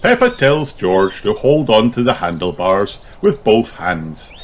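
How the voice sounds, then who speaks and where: A man's voice: on the low side, huge pitch swings, measured pace, soft background music. A man reading a scripted children's audiobook as a storybook narrator.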